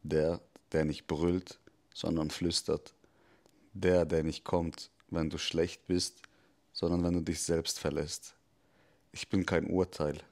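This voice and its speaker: A cynical devil speaks in a very deep, unhurried voice, as a man acts him out, confiding rather than shouting. He is measured and intimate, with a soft menace under every word. It plays like a scripted dramatic monologue. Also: little pitch movement. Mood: hushed, brooding. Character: calm confiding male devil, cynical, mocking.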